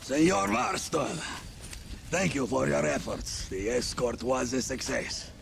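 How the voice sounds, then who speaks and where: An actor playing a zealous, fatalistic Mexican army officer in a video game, grandiose and formal, with a Mexican accent. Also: male voice, medium pitch, animated, unhurried, gravelly, faint music behind.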